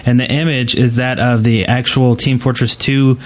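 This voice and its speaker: A man's voice: medium pitch, level tone, normal speed. Casual male gaming podcast co-host.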